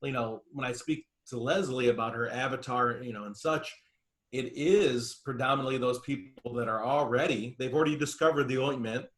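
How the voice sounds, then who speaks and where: A man's voice: mid-range voice, normal speed, a bit bright. A man discussing informally on a group video call, agreeing and circling his way to his point with some hesitation. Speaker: participant commenting.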